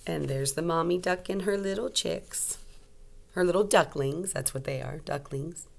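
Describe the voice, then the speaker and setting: A woman teacher reading a storybook aloud to young children, expressively, pausing to explain and ask simple questions. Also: fairly low pitch, gravelly, darkish tone, slight background noise.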